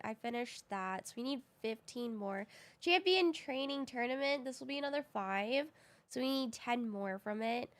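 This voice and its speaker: A woman live streaming a game in casual, slangy chat, her voice a little groggy from having recently woken up. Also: fairly high pitch. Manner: slangy, informal.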